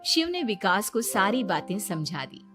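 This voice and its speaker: Female cartoon story narrator: expressive, swinging intonation over a musical bed. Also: medium pitch, measured pace, music in the background.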